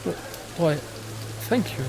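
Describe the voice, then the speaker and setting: An actor with a British accent playing a mysterious, polite time-travel guide in a formal, measured audio drama style. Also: male voice, music in the background, natural voice. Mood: patient, earnest. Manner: formal, measured, gently reproachful.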